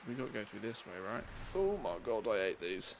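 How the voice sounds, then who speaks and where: A man's voice: lowish voice, big pitch swings, brisk, creaky, a little hiss or hum. A man streaming a game, chatting casually with his viewers and grumbling at the game between rambling stories. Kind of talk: casual chat while playing a game.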